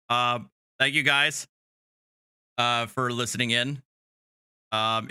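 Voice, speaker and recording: A man hosting a livestream, chatting casually in a hesitant, halting way. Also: mid-range voice, pushed and bright, clear, resonant tone, dry recording, clean studio sound. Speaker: livestream host.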